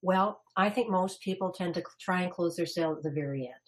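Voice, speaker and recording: A woman's voice: lowish voice, fast talker. A woman consultant presenting a salon business webinar in a chatty, upbeat way, laughing easily.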